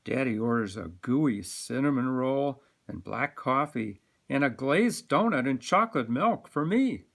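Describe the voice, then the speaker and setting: Male volunteer storyteller, bright voice, lively pitch movement, expressive read-aloud for small kids. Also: measured pace, vocal fry, few pauses.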